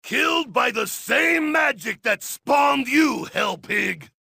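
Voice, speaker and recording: Brief: high, raspy, gravelly drunk Russian brute, bellowing battle taunts in broken English for a game. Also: male voice, lively intonation, takes it slowly, no echo, clean studio sound, heavily acted. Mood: boastful crude. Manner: shouting gleefully, broken English without articles. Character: boisterous drunk Russian Cossack zombie-slayer, crude, boastful. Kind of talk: video game character voice lines.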